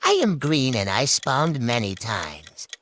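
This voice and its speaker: Female quiz clue reader, very low register, highly animated melody, faint noise behind. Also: slow. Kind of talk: scripted riddle clues.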